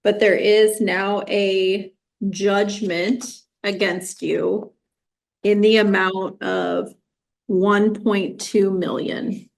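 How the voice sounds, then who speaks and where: An American woman speaking as a judge in court, formal, measured and firm as she addresses a party. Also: medium pitch, fairly even intonation. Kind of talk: unscripted courtroom ruling.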